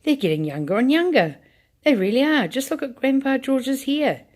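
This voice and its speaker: A woman voicing an old grandfather who yells in excitement. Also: mid-range voice, normal speed, voice pushed up, lightly acted. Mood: excited. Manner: excited yelling.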